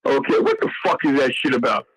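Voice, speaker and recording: A man's voice: mid-range voice, huge pitch swings, quick pace, fairly bright tone, husky, poor audio. A man in his sixties in a casual talk show chat, rambling, cursing freely and stumbling over names as he tells stories. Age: 60s.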